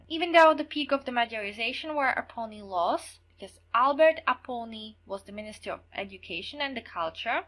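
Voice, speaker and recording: A woman's voice: a little high, sharp tone, poor audio. A young woman with a Slovak accent, a non-native English speaker, giving an online history lecture and recapping key points for students with casual fillers.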